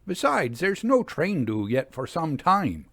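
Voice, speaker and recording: A man's voice: a little high, huge pitch swings, measured pace, fairly bright tone, no room sound, voice pushed up, heavily acted. A man voicing a plain-spoken, respectful working signalman in a ghost story reading, with emphatic, colloquial speech. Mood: firm, respectful.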